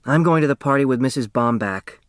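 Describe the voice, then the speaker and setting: A man's voice: medium pitch, a little fast, dry recording, clean studio sound, pitched above the performer's own voice, natural voice. A man voicing a sulky, defiant young girl in a storybook, with a blunt, complaining manner.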